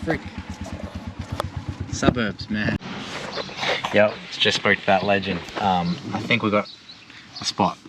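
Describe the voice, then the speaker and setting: A man's voice: lowish voice, slow pace, long pauses. A young Australian man chatting casually in a travel vlog, laid-back and full of filler words, recorded outdoors by a road. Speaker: travel vlogger. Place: outdoors by a road while driving a tuk-tuk. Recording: outdoor recording with clear traffic noise. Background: traffic and vehicle noise.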